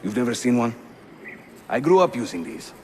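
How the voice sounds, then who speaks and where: A man's voice: medium pitch, very animated, moderate pace, darkish tone, slight background noise, lightly acted. An actor playing a jovial, roguish Ottoman assassin guide in a video game, with a Turkish accent and a theatrical, teasing manner. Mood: explaining. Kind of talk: video game cutscene acting.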